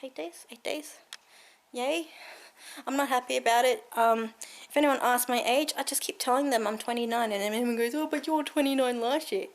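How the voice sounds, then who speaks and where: Aussie lady in her 30s rambling to her camera at home, giggly, kinda high voice. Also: gravelly. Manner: rambling and giggly, many fillers. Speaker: vlogger. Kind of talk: casual chat to camera. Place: cold room at home. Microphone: handheld camera carried around the room.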